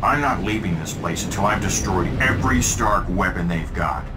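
An actor playing a cocky, determined armored hero in a video game, casual and wry. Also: male voice, low voice, animated, normal speed. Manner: wry, casual, self-assured. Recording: loud game music and engine noise.

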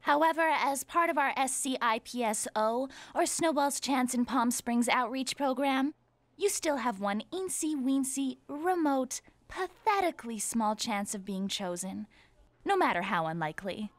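A woman's voice: high-pitched, unhurried, no echo. A woman playing a snooty popular cheerleader in a cartoon, pompous and condescending. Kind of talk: animated series dialogue.

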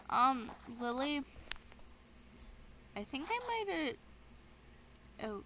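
A woman's voice: medium pitch, fairly bright tone, slight background noise, poor audio. A woman host talking casually in a game roleplay, chatty and rambling with plenty of ums. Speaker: channel host voicing her own roleplay character.

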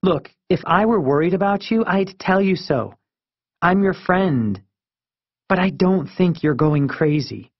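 A man's voice: high-pitched, expressive, measured pace, no echo, lo-fi sound, pitched above the performer's own voice, exaggerated voice. A man voicing a frank, spirited young schoolgirl in an audiobook, speaking emphatically and earnestly. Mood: exasperated, reassuring.

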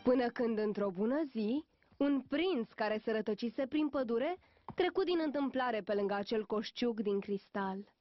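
A woman's voice: a little high, lively intonation. A woman narrator reading scripted storybook narration for a cartoon. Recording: dull lo-fi dub with music behind.

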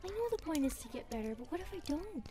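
A woman plays a nervous young grasshopper girl in an audio roleplay. Her voice is high and soft-toned, and she chatters hesitantly, full of self-doubt. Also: big pitch swings, fast talker, soft background music. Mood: anxious, doubting. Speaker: roleplay voice actress. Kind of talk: ASMR roleplay acting.

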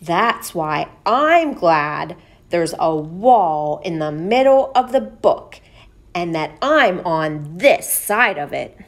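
A woman voicing a small boy knight in a children's picture book read-aloud, with drawn-out, dramatic delivery. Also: fairly low pitch, expressive. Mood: smug, wary. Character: small boy knight, smug then alarmed, storybook.

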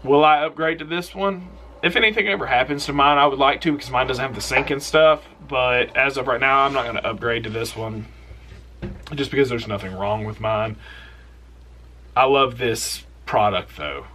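Young guy hosting an outdoors vlog; bright, forceful tone, loose and rambling, keen about his picks, close to the camera in a small room. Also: medium pitch, normal speed, slight background noise. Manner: relaxed, rambling, enthusiastic with trailing asides. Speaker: outdoors channel host. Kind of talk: casual product recommendation vlog.

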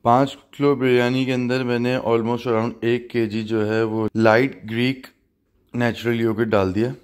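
Young male cooking host, casual mixed-language voice-over, relaxed home-kitchen demo. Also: medium pitch, unhurried, clear, resonant tone. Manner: mixes Hindi-Urdu with English phrases, relaxed and chatty. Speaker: home cook hosting a cooking channel.

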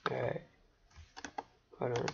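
A teenage boy with a recently deepened voice, rambling and chatty as he gives casual commentary over his own gameplay. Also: slow pace, lo-fi sound. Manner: rambling, chatty, laughs often. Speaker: gaming channel host.

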